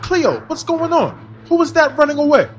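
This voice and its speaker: An actor playing an easygoing, wealthy master of the house in a casual audio drama style. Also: male voice, extremely high pitch, very animated, normal speed. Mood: alarmed.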